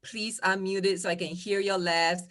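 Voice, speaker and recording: A woman's voice: mid-range voice, fairly even intonation, moderate pace, a bit bright. A woman from Singapore doing a stand-up set over a video call, delivering wry, playful punchlines. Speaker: comedian.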